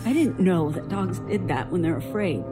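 An actress playing a bitter, guarded young woman, a traumatized survivor, in an audio drama, husky and sardonic. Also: lowish voice, expressive, moderate pace, over music, natural voice. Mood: haunted, subdued. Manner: sardonic, defensive.

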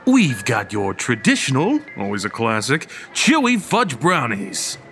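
A young man acting a goofy college stoner with showy salesman patter and theatrical, drawn-out emphasis. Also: fairly high pitch, a bit bright, full character voice. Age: young adult. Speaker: actor in audio drama. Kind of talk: theatrical dialogue in old time radio style audio play.

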